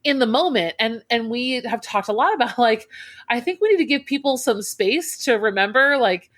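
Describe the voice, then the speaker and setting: A woman's voice: fairly high pitch, expressive, moderate pace, a bit bright. Friendly woman co-host, stop-start phrasing. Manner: hesitant restarts, friendly and encouraging. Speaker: podcast co-host. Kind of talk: casual chat.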